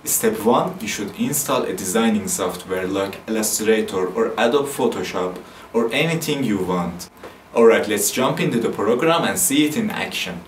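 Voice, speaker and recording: A young man from Iran with an Iranian accent, hosting a software tutorial with plain step-by-step instructions. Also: lowish voice, expressive, moderate pace, slight background noise. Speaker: tutorial host. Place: dim room at a desk.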